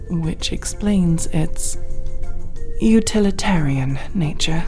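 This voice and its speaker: Woman playing an aloof, low-voiced alien crewmate. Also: warm tone. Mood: formal, dry. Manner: stiff, precise, clinical phrasing with deliberate pauses. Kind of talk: ASMR roleplay addressed to the listener.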